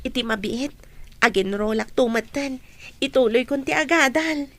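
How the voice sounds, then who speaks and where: A woman's voice: high voice, normal speed, fairly bright tone. A woman acting a friendly young village housemaid in an Ilocano radio comedy drama. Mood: friendly, content. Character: young village housemaid hoping to return to school.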